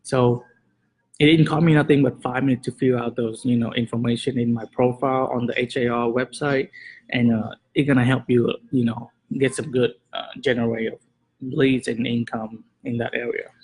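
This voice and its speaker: Husky-voiced man giving casual tips. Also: medium pitch, normal speed, low-quality recording. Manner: relaxed, many fillers, slightly nonstandard grammar. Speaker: real estate agent and show host. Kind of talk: casual advice talk to camera.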